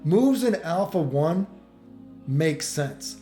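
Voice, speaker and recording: A man with a slightly high but soft-edged, mellow voice rants casually as a gaming commentator. He rambles, swears and states opinions bluntly. The room is noticeably echoey. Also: lively intonation, slow, soft background music. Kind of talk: casual off-the-cuff ranting game commentary.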